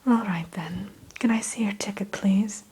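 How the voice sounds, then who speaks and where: A woman's voice: mid-range voice, unhurried, warm tone, slightly rough. A woman playing a friendly, welcoming train greeter in an ASMR roleplay, whispering in a polite, reassuring, chatty way. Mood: warm welcome. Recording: faint background hiss.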